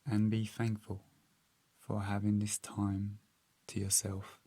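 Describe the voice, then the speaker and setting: Male meditation guide: calm, gentle, very slow and flat in pitch, long pauses. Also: husky, no room sound.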